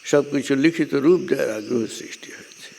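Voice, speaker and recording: A man giving a measured religious lecture, speaking deliberately in short phrases with frequent pauses. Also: medium pitch, mellow. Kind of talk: measured religious lecture, partly scripted narration. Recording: long hall reverb, clear background noise.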